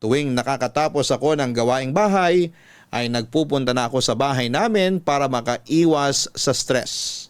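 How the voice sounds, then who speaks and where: A man's voice: mid-range voice, moderate pace, clear, resonant tone, dry recording, studio quality. A man narrating a listener's story as a radio host, in scripted dramatic storytelling style.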